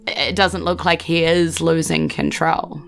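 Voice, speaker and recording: A New woman runs a tabletop game with casual, explanatory narration. Her voice sits fairly low with plenty of vocal fry, over faint music. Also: fairly even intonation, a little slow. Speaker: game master.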